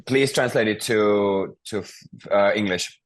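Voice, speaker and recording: A man's voice: fairly low pitch, slow, poor audio. A man teaching a beginner language class, patiently prompting students and explaining grammar step by step.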